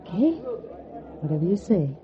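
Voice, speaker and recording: Teasing nightclub flower girl, lowish voice, knowing manner, 1940s radio drama, dull sound. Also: big pitch swings, normal speed, crowd noise. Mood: coaxing, resigned. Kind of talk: 1940s radio noir acting. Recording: dull vintage radio recording.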